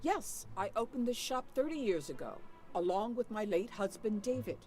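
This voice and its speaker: A woman's voice: medium pitch, lively intonation, normal speed, warm tone, faint music behind, lightly acted. A woman playing an unflappable, proper older widowed shopkeeper in an adventure game, courteous and formal but guarded. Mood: guarded, firm. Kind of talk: voiced adventure game dialogue.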